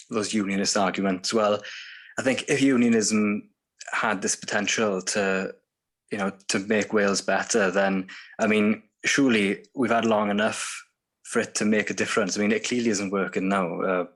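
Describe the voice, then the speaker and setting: A man's voice: lowish voice, a bit bright. A man on a panel, making a political argument with many fillers like "you know". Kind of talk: panel discussion. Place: bookshop event room.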